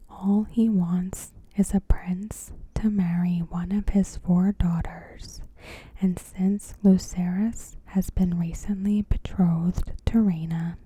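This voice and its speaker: A woman whispering softly in an ASMR style, reading scripted commentary in a hushed, unhurried, breathy voice. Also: on the low side, dry recording. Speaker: ASMR host.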